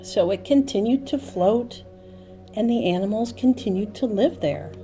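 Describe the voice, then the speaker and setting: A woman telling a story to young children in an expressive, dramatic manner, with emphatic repeated phrases. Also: medium pitch, normal speed. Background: music and sound effects.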